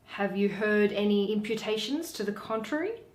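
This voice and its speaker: A woman voicing an eager, courteous young gentleman in a Shakespeare play, earnestly pressing his request. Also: medium pitch, animated, normal speed, lightly acted. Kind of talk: dramatic Shakespeare reading. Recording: roomy echo.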